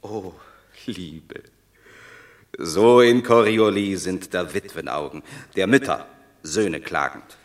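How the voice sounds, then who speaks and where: An actor playing a proud Roman war hero in a radio play, speaking verse tersely. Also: male voice, deep voice, slow, long pauses. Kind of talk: classic radio play acting.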